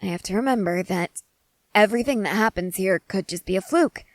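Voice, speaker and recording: A woman playing an excitable, curious scientist in a scripted ASMR roleplay, speaking in eager, wordy, formal and apologetic phrases. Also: medium pitch, a little fast, no echo, natural voice. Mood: musing, apologetic.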